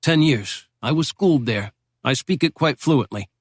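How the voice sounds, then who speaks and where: A man voices a modest young soldier with a Midwestern American accent, understated and plain-spoken. His delivery stays lively and varied, recorded close and dry. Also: medium pitch, moderate pace, no echo, lightly acted. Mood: calm.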